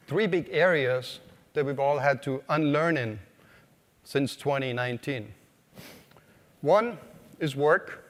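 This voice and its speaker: A man with an Austrian accent delivers a conference keynote in a slightly high voice. He talks casually, quizzes the audience and fills pauses with ums. A long reverb from the hall hangs on every phrase. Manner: conversational, asks the audience questions, frequent uh fillers. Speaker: conference speaker. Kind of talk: keynote talk to a live audience.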